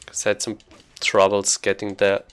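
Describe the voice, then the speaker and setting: A man giving casual, low-key live commentary on his own speedrun, muttering to himself as he plays. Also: completely flat delivery, moderate pace, fairly bright tone, smooth.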